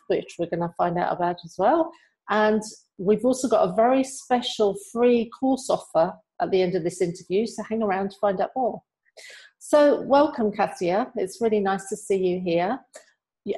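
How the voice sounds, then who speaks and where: A woman speaks as an interview host at a middle pitch. She presents in a conversational way, with prepared introductions and questions for a guest. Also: darkish tone, poor audio. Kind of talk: interview hosting, scripted introduction.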